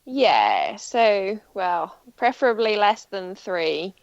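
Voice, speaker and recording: A woman with a gravelly, slightly dull-sounding English accent chats modestly about running. Her dry, lo-fi sound suggests a remote line. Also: mid-range voice, very animated, slow pace, no room sound. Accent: generic English. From Wales. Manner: modest, laughs easily. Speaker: guest, marathon runner. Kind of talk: casual podcast chat.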